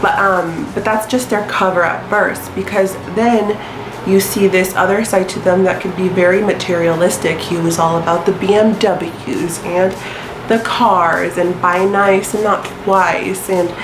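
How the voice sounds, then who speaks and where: A woman's voice: on the low side, steady tone, measured pace, roomy sound, over music, low-quality recording. A young woman in her twenties with a stuffy nose from a sinus infection, chatting casually to camera with lots of ums and likes. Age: 20s. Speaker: astrology vlogger.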